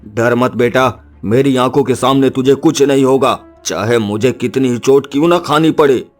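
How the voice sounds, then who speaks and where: A man's voice: medium pitch, normal speed, soft background music, natural voice. A man playing a brave woodcutter father in a dramatic cartoon story, earnest, tender and determined. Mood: protective, tender.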